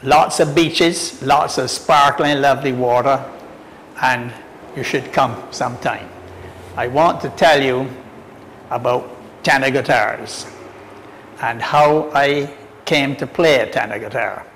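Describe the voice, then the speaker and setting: An older man from Barbados with a Barbadian accent, telling his own story in a relaxed, friendly way. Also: mid-range voice, takes it slowly, pauses often, big-room sound, a little hiss or hum. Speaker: tenor guitarist. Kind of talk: personal storytelling to camera.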